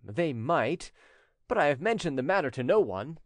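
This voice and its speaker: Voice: medium pitch, sing-song, normal speed, no room sound, voice pushed up, close to an everyday voice. A narrator voicing a proud, scheming male French notary who feigns innocence, in an audiobook story. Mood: feigned innocence.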